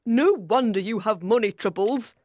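A woman's voice: medium pitch, normal speed, a little mellow, no room sound, natural voice. Woman voicing a haughty, scolding older aunt. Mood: disapproving. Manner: haughty, critical. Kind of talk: audiobook character voice.

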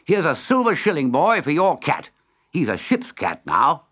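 A man's voice: a little high, wildly swooping pitch, normal speed, a bit bright, voice pushed up, exaggerated voice. A man voicing a commanding storybook sea captain with a hearty, formal manner. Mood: brisk, commanding. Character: commanding, flattering male sea captain and merchant. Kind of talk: storybook character voice.

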